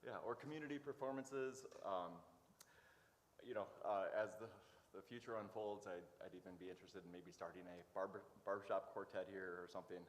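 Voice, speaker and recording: A man being interviewed as a guest, speaking informally in a halting, hesitant way with many pauses. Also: low-pitched, steady tone. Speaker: interview guest, church worker. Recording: echoey hall, noticeable background noise.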